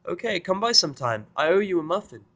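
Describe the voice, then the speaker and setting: A sweet, earnest little pony mare, voiced by a man for a fan audio story. The voice is pitched well up into a cutesy falsetto, bright and gushing, with an innocent cheerful temper. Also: sing-song, fast, heavily acted. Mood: grateful, cheerful. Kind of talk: amateur fan fiction audio drama.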